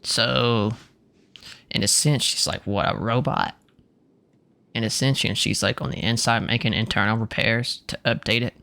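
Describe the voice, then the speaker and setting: A man's voice: fairly low pitch, moderate pace, gravelly, sharp tone, dry recording. A man giving casual, joking gameplay commentary in informal, bro-ish slang. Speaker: game streamer.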